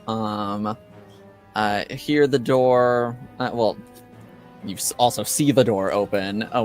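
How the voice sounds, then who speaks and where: A man narrating a tabletop game as game master, improvising haltingly as he searches for words. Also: lowish voice.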